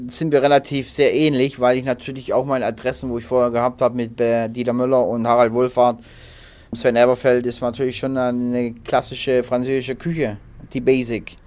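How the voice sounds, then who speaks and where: Halting man speaking in a flat tone. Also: medium pitch. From Berlin, raised in southern Germany. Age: 30s. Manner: halting, many fillers and restarts. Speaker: head chef. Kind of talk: interview.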